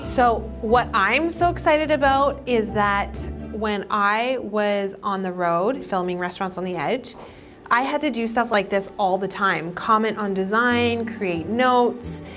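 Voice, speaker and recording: A woman's voice: mid-range voice, unhurried, a bit bright, soft background music. A woman in her thirties talking casually and emphatically as an upbeat vlog host. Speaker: vlog host and interior designer.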